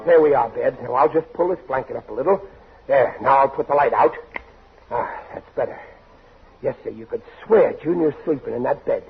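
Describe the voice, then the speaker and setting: A man's voice: medium pitch, brisk, soft background music, close to an everyday voice. Man playing a blustering American radio dad, tinny recording. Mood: self-assured. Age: middle-aged. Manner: pleading bluster, ungrammatical everyman speech. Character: blustering, bumbling working-class American husband and father. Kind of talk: 1940s radio sitcom acting.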